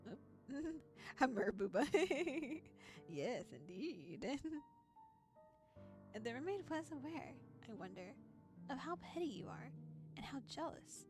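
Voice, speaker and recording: A playful, giggly woman VTuber reading a visual novel aloud and adding casual commentary. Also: sits high. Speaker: VTuber streamer.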